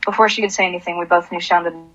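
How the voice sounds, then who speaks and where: A woman's voice: fairly low pitch, steady tone, very fast, fairly bright tone, muffled recording, a little hiss or hum, close to an everyday voice. A woman playing a bitter, desperate grieving mother in an anguished, confessional radio drama performance. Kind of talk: radio drama acting with first-person monologue.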